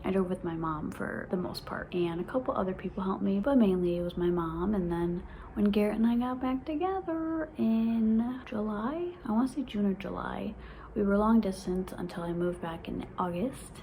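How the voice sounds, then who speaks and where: Young woman vlogger in her twenties with a stutter, casual and excited, light room noise. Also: mid-range voice, normal speed. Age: 20s. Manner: stutters, with repeated sounds and restarts; excited, laughs often. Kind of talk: casual vlog chat.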